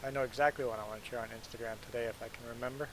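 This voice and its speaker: A man's voice: mid-range voice, steady tone, very fast, noisy background. A man, an English speaker, chatting casually and self-deprecatingly with viewers as a game streamer. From Belize. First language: Plautdietsch (Mennonite Low German). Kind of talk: casual live-stream chat.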